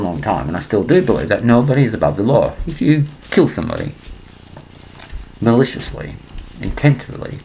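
Gravelly older man rambling to a webcam. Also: lowish voice, darkish tone, a little hiss or hum, poor audio. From England. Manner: rambling, digressive, frequent throat clearing. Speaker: vlogger. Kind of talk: rambling conspiracy monologue to webcam. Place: small indoor room. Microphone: laptop webcam.

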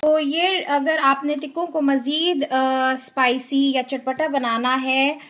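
Slow, high-voiced cooking host. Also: female voice, flat delivery, fairly bright tone. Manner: unhurried, with frequent filler pauses. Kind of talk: step-by-step cooking instructions. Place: home kitchen.

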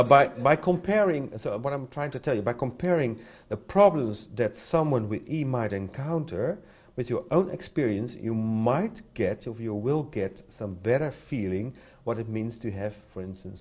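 A man's voice: lowish voice, darkish tone, slightly rough. Hesitant Dutch-accented man at a podium. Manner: hesitant, many fillers and repeated words. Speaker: conference speaker. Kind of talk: conference talk. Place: conference hall with audience. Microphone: podium microphone. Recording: dull lo-fi sound.

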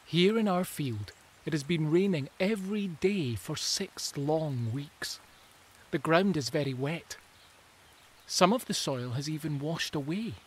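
A man reading a children's story aloud in a gentle, measured and expressive way. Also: fairly high pitch, slight background noise. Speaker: narrator.

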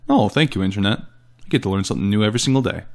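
A man's voice: on the low side, lively intonation, racing, gravelly. A man giving a comic dramatic reading of text messages, with sardonic, exasperated delivery. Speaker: host and voice actor. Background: background music.

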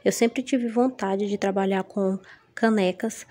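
A Brazilian woman vlogging casually from her home kitchen, a little hoarse from a cold, chatty and often checking in with her viewers. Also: medium pitch, steady tone, unhurried, mellow. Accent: Brazilian Portuguese. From Maranhão, Brazil. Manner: chatty, frequent tag questions to viewers. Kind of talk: casual haul vlog. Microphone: phone camera held over table.

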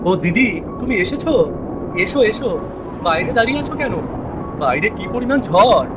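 A man's voice: very high-pitched, big pitch swings, normal speed, background noise. A man voicing a protective, exasperated younger brother in a cartoon family drama, speaking emphatically. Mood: welcoming. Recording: loud music under the voice.

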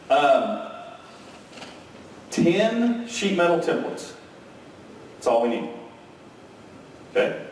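A man's voice: medium pitch, far from the mic, a little hiss or hum. Man presenting in an echoey ballroom. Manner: energetic, frequent "okay?" and "right?" checks. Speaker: conference presenter. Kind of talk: technical keynote presentation. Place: large hotel conference room with audience.

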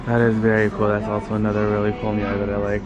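A man's voice: lowish voice, completely flat delivery, racing, muffled recording. A man hosting a casual vlog store tour, enthusiastically praising items as he walks through a large store. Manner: enthusiastic, gushing over items. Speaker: vlog host. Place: large indoor furniture outlet store.

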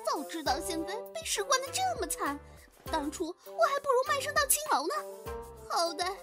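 A dramatic young servant girl in a comedy radio play. Her voice is very high and animated, whining and exclaiming with starstruck, cheeky desperation. Loud music plays behind her. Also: normal speed. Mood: exasperated, whining. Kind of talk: Chinese comedy audio drama acting.

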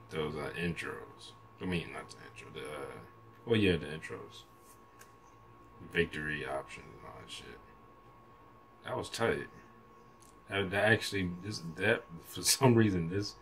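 Very deep, gravelly American man. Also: fairly bright tone, a little hiss or hum. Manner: hesitant, rambling, slangy. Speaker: reaction video host. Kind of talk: casual reaction commentary. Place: small room at home, indoors.

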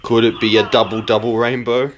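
A man's voice: mid-range voice, level tone, fairly quick. A man giving joking, mock-documentary commentary from behind a handheld camera in a living room. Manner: mock-documentary narration, playful. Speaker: person filming. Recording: noisy handheld camera audio.

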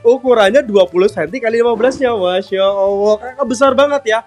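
Upbeat guy with a really high voice selling cakes on a live stream, faint music behind. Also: a little fast. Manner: chatty and upbeat, constantly addressing viewers warmly. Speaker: live-stream sales host. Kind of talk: live-selling chat, reading out viewer comments.

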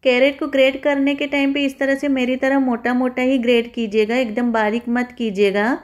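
A woman's voice: sits high, level tone, normal speed. A woman home cook giving a step-by-step recipe voice-over, instructing viewers in a friendly, familiar form of address.

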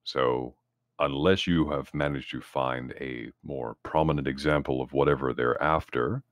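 Very deep-voiced man speaking formally. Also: dry recording, clean studio sound. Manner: wordy, formal, deliberate corporate phrasing. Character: calculating, smooth-talking male corporate representative. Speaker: tabletop game player. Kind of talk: in-character improvised roleplay dialogue.

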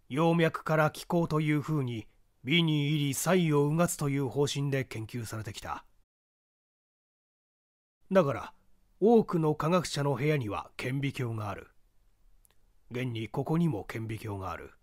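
A man's voice: mid-range voice, no echo, studio quality, lightly acted. A man voicing an opinionated professor of forensic medicine in a dramatic audiobook reading, declaiming in a didactic, emphatic way. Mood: grave lecturing.

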